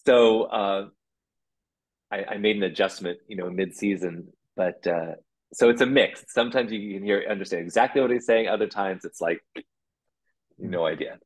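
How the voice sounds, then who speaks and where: A man, a puppeteer, talking casually as a guest, rambling with restarts and quick laughs. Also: fairly low pitch, rough recording. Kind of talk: casual interview chat.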